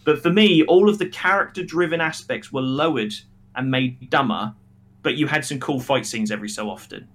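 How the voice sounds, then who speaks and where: A man's voice: medium pitch, moderate pace, fairly bright tone. A man co-hosting a podcast, chatting casually about films in a blunt, emphatic and critical way. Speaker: podcast co-host reviewing films. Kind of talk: casual opinionated review chat.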